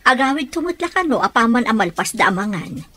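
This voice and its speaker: A woman's voice: on the high side, big pitch swings, fairly quick, fairly bright tone. A woman with an Ilocano accent playing a concerned middle-aged mother in a radio drama. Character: Alma, concerned middle-aged mother hoping for her child.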